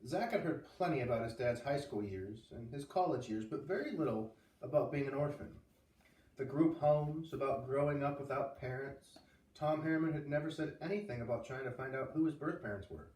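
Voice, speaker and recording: A man reading a novel aloud in an expressive storytelling style, now and then stumbling and rereading a phrase. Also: medium pitch, a little fast. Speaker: read-aloud reader. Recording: roomy echo, distant microphone.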